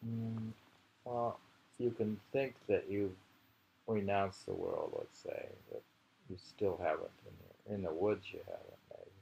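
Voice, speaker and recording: Low creaky guy musing over poems, rambly, bit of noise on the recording. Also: lively intonation, unhurried, a little mellow. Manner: musing, rambling, repeats phrases. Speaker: poetry reader. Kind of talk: informal poetry reading and commentary. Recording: noisy amateur home recording.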